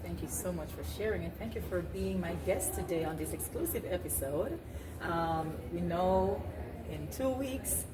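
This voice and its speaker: A woman hosts an interview in a conversational style. Her voice is a little low for a woman. The room echoes around her and heavy background noise runs under her words. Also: lively intonation, normal speed. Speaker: talk show host. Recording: roomy, loud background noise.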